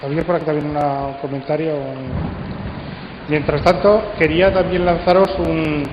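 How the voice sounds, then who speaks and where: A man with an accent from Spain moderating a session, talking conversationally to an audience in short, measured phrases. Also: fairly high pitch, steady tone. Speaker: speaker moderating a congress session. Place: large hall at a radio drama congress. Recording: echoey hall, dull sound, clear background noise.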